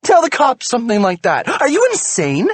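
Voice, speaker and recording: A man's voice: fairly high pitch, lively intonation, slow, slightly rough, no echo, pitched above the performer's own voice, heavily acted. A man voicing a betrayed wife in a screaming, tearful rage in an audiobook. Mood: furious. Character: furious betrayed wife, shrieking, adult woman. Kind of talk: audiobook character voice.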